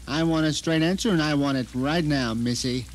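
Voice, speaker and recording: Man acting a high-voiced, scheming trapped novelist. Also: normal speed, natural voice. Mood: tense, indignant. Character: trapped male novelist, scheming, self-satisfied, grimly narrating his ordeal. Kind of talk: first-person audio drama narration. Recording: noisy 1990 radio drama recording.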